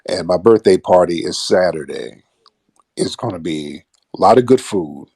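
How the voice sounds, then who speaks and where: A man's voice: sits low, lively intonation, moderate pace, a little mellow, no echo, studio quality. An American man hosting an online radio show, delivering a blunt, combative monologue in colloquial street slang with swearing and emphatic phrasing.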